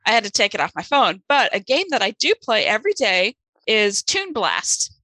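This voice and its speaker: A woman whose first language is German, co-hosting a podcast in casual, chatty conversation, self-deprecating with quick asides. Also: mid-range voice, sing-song, sharp tone, dry recording, rough recording. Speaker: podcast co-host, author. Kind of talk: casual chat.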